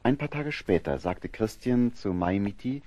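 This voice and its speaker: A man narrating an audio drama from a script in a measured, factual storytelling manner. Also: lowish voice, animated, mellow, highs cut off.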